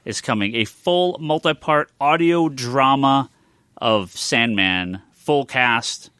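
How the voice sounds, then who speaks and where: A man co-hosting a casual podcast, excitable and sweary, often repeating himself as he gets his point out. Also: medium pitch, takes it slowly, smooth, no room sound, studio quality. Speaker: podcast co-host. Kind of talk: casual panel chat.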